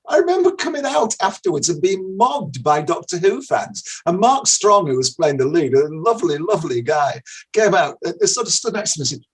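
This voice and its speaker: A man's voice: high voice, big pitch swings, normal speed, slightly rough. An older man with a slight Irish accent, an actor telling anecdotes in a casual, self-deprecating way. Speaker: actor, interview guest.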